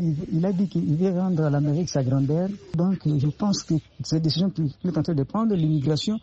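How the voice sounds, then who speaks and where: A man's voice: a little high, mellow, dull audio. A man giving his opinion on a radio program, earnest, often repeating and restarting phrases. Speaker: radio panelist.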